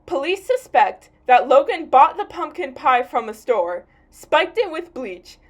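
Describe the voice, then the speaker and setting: A woman's voice: high-pitched, sing-song, a little slow. A woman reading scripted true crime narration in a matter-of-fact storytelling way. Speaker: narrator and host of a mock true crime series. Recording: music behind the voice. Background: background music.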